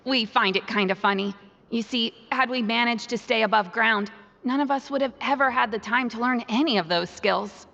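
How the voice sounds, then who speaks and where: A woman's voice: fairly high pitch, moderate pace, gravelly, a bit bright, big-room sound, lo-fi sound. An actress playing a friendly, content female prisoner in a video game, explaining things in an easygoing way. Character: friendly, content female prisoner and club member tidying books. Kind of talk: video game dialogue acting.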